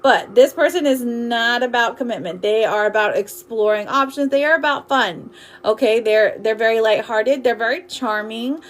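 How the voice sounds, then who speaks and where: A woman's voice: on the high side, normal speed. A woman hosting a live tarot reading, chatty and informal, talking affectionately to her viewers. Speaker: tarot reader hosting a livestream. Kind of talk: casual live chat while reading cards.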